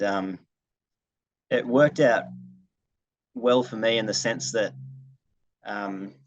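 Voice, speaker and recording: A man's voice: lowish voice, dry recording, low-quality recording. A young Australian man, a singer-songwriter, answering interview questions in a casual way on a video call. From regional New South Wales, Australia. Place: video call, dry room.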